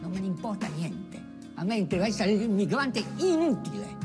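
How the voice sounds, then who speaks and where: A woman delivering an impassioned theatrical monologue, emphatic and deliberate, repeating phrases for effect. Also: mid-range voice, sing-song. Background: music.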